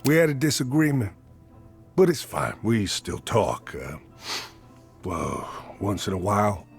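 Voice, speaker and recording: An earnest, chipper musician in a game. His pitch moves freely as he talks in a hesitant, folksy manner, with music underneath. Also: animated, unhurried, gravelly. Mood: wistful, subdued. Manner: hesitant, folksy, mild exclamations. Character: earnest, chipper male jazz musician and party organizer. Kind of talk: voiced dialogue in a narrative RPG.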